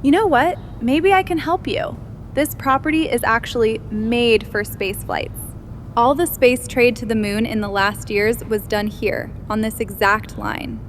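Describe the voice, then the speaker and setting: A woman playing a calm, reassuring, politely detached AI robot in an audio drama. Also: fairly high pitch, measured pace, a little hiss or hum. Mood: calm reassurance. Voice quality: soothing, calming. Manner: even-tempered, politely detached. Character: calm, reassuring female AI robot, secretly indifferent to everything.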